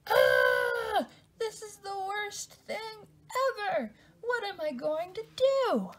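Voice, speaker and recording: A woman voicing an excitable, dramatically exasperated little boy from a storybook, with theatrical, indignant exclamations. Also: extremely high pitch, takes it slowly, pitched above the performer's own voice, heavily acted, poor audio. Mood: panicked.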